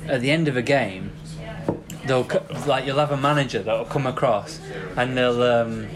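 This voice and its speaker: A man's voice: mid-range voice, moderate pace, a crowd in the background. A man co-hosting a podcast in a pub, easygoing and talking in loose, half-finished phrases. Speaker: podcast co-host. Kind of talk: casual pub chat. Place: busy pub. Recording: clear background noise.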